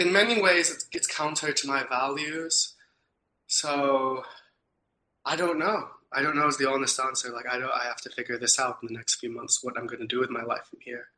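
A man's voice: mid-range voice, bright, forceful tone, rough recording. A young man from Turkey, a podcast guest, talking thoughtfully and earnestly about his views, with frequent ums and restarts. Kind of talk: casual interview talk.

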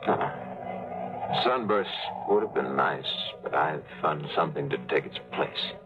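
A hard-bitten adventurer with a very low voice that still swings widely in pitch. He talks in short, dry, sardonic lines. This is a scratchy, phone-thin 1950s radio play with music underneath. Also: lively intonation, a little slow, narrow, tinny audio. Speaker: narrator and lead. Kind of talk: 1950s radio drama acting.

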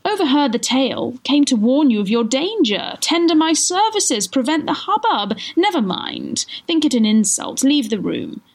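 A woman reading a classic novel aloud, voicing a glib, scheming gentleman who talks in clipped, theatrical bursts. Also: sits high, very animated, moderate pace, hardly any pauses, voice pushed up. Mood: urgent. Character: glib, unabashed, scheming melodramatic gentleman rogue. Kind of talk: literary audiobook character reading.

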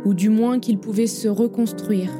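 Woman narrating a story, measured and solemn, piano playing clearly underneath. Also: mid-range voice, fairly even intonation. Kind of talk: scripted audio drama narration. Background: piano music.

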